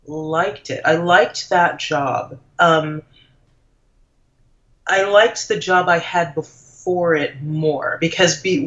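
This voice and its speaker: A woman's voice: deep voice, fairly bright tone. A woman from Trinidad, a lawyer turned blogger, chatting reflectively as an interview guest and thinking aloud as she goes. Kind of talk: casual conversational interview. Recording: dull lo-fi audio.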